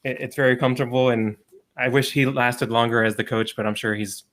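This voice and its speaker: A man hosting a casual livestream over a video call, relaxed and chatty, with frequent ums and laughs. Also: mid-range voice, brisk. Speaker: livestream host and sports writer.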